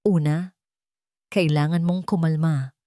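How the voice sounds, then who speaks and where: A woman's voice: low voice, fairly even intonation, measured pace, warm tone, no echo, studio quality. Woman reading as a guarded, urgent protector. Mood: calm, firm. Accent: Filipino. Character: guarded, urgent protector helping a hunted friend.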